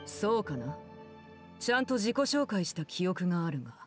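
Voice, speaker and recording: Low, cool commanding woman. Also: sing-song, over music. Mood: cool, dismissive. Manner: composed, measured, formal and authoritative. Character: cool, commanding woman, aloof diplomat and stern guardian. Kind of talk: Japanese anime-game voice acting.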